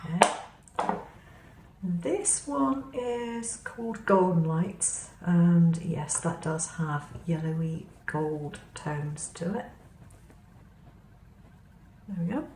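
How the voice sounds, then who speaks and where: A woman with a slightly hoarse voice, chatting casually as she demonstrates craft materials at her table, in an unhurried way. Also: on the low side. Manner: unhurried, chatty explaining while handling materials. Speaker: craft vlogger. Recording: roomy echo with faint background hum.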